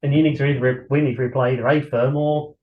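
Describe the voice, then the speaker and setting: A man's voice: medium pitch, little pitch movement, fast. British man chatting in an echoey room. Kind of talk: informal classroom discussion with anecdotes. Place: indoor ground school classroom. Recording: echoey room, slightly dull sound.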